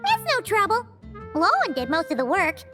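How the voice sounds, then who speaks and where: A woman voicing a tiny, curious female fairy companion in a video game, with a high squeaky voice and an inquisitive, excitable way of asking questions. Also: normal speed, music in the background, exaggerated voice.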